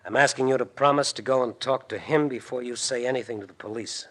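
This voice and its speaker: An actor playing a tough, bossy, embittered gangster in a 1940s radio drama, with an American accent and clipped, slangy speech. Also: male voice, medium pitch, quick pace, a bit bright, natural voice. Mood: businesslike, pressing. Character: tough, menacing gangster boss, bossy, bitter jilted husband.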